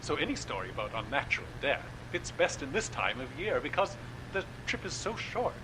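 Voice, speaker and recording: A genial horror producer who savors every spooky word. His voice rises and falls freely, and a steady noise hangs under the recording. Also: fairly high pitch, a little fast. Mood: wry. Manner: theatrical, relishing spooky talk. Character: genial male horror-drama host being interviewed. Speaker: radio drama producer guest.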